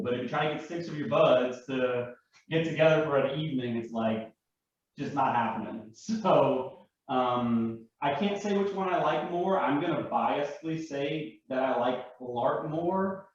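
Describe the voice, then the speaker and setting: A man chatting casually as a roleplay enthusiast on a panel, telling stories in a conversational way. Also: on the high side. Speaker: live action roleplay enthusiast, panel guest. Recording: very echoey room, distant mic, muffled.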